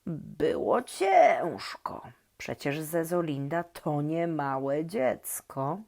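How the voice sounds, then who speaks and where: A woman reading a children's story expressively and talking gently and directly to young listeners. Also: low voice, big pitch swings, very slow, vocal fry.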